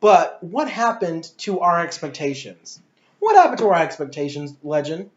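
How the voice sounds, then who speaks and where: A woman's voice: on the low side, sing-song, moderate pace. A woman giving an opinionated, casual movie review, ranting emphatically with swearing and a rambling, exasperated delivery. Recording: roomy echo, dull lo-fi sound.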